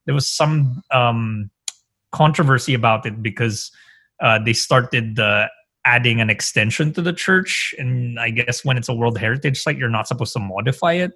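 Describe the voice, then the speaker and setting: A man's voice: medium pitch, moderate pace, bright, forceful tone, breathy edge, no echo. A man from the Philippines, a guest in a casual conversation, rambling and filling his talk with "like" and "um". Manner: rambling, heavy use of "like" and "um".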